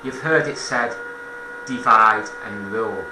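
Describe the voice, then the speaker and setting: A man's voice: lowish voice, noisy background. Man talking politics in an echoey room. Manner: halting, self-correcting, repeats phrases for emphasis. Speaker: political commentator. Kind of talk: informal spoken political monologue.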